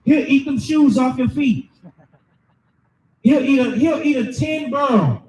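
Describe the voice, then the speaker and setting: A man preaching loudly and emphatically, calling for scripture readings and explaining them to a crowd. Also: extremely high pitch, unhurried, reverberant, recorded from a distance, poor audio. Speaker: street preacher. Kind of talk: shouted sermon with scripture reading.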